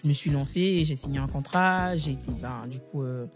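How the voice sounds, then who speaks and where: A young woman from Guiana, a singer answering interview questions casually, pausing often on fillers and restarting her sentences. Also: extremely low pitch, music in the background. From French Guiana. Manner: hesitant, many fillers and restarts. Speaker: singer, guest.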